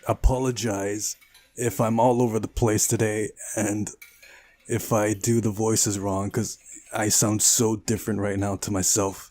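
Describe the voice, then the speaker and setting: A man's voice: fairly low pitch, a little mellow. A man hosting a playthrough, reading a visual novel aloud and adding casual asides. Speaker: gaming channel host. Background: game music.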